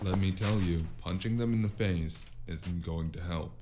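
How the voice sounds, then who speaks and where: A man playing a wry, gravelly-voiced investigator who narrates a noir fantasy audio drama in the first person. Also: low-pitched, normal speed, noisy background, natural voice. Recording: music behind the voice.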